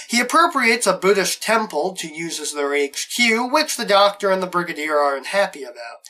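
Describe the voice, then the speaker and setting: A man's voice: high voice, pushed and bright, poor audio. A man narrating a scripted plot recap as host of a review show.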